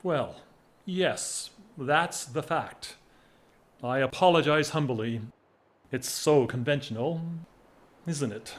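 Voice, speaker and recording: Actor as slow, wry English gentleman, lovesick. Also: medium pitch, close to an everyday voice. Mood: resigned confession. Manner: wry, measured, theatrical. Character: whimsical lovesick English gentleman of forty-one, self-mocking. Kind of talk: period audio drama acting.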